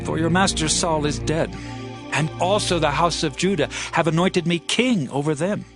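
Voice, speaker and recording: An actor plays a noble ancient king in a scripture drama. His male voice is fairly high, stately and gracious, declaimed over faint music. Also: moderate pace, lightly acted. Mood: solemn gratitude. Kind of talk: dramatized scripture audiobook acting.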